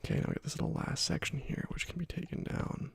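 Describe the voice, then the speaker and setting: Breathy whispering man, ASMR gameplay. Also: a little high, steady tone, quick pace, faint music behind. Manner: quiet, half-whispered, musing to himself. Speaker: ASMR gaming channel host. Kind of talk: soft-spoken ASMR gameplay commentary.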